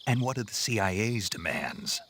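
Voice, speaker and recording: An actor playing a terse soldier-spy reporting in over the radio in a game cutscene. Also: male voice, lowish voice, expressive, moderate pace, fairly bright tone, a little hiss or hum. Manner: terse, dry replies. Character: terse, gruff male soldier-spy in the field. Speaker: field agent. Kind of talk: video game cutscene acting.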